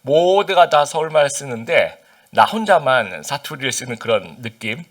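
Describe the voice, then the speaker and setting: Korean pastor with a lively sermon voice. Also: male voice, mid-range voice, normal speed. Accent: slight Busan dialect under standard Seoul Korean. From Busan, South Korea. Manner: conversational, frequent hesitation fillers, asks the congregation questions.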